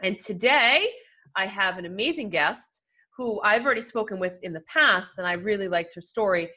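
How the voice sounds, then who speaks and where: A woman's voice: medium pitch, fast talker, bright, forceful tone. Upbeat woman podcast host. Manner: upbeat, chatty, encouraging. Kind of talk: casual interview talk.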